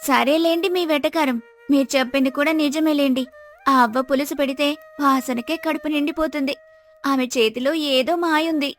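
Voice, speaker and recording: A woman's voice: high voice, fairly even intonation, moderate pace, soft background music. A woman voicing a young village wife, touchy and sarcastic but affectionate, in a storybook tale. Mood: grudging.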